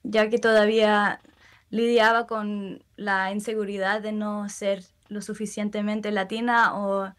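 A young woman, a musician answering interview questions in a soft, thoughtful way with frequent pauses. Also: medium pitch, little pitch movement. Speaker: musician guest.

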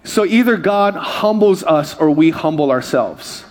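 A man's voice: animated, measured pace. Man preaching with rising fervent bursts. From Hawaii. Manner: exhorting, calls for amens, swings between loud high bursts and low quiet asides. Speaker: pastor. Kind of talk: sermon.

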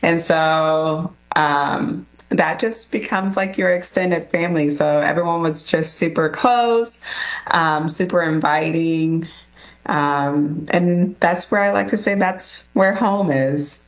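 A woman answering interview questions, unhurried and friendly. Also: low voice, rough recording. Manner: unhurried, friendly, often laughs lightly. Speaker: guest.

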